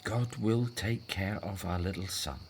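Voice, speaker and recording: A man's voice: low-pitched, a little slow, husky, full character voice. An actor in a scripted audio drama playing a devout Hebrew husband and father, earnest and persuasive. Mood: reassuring, persuasive.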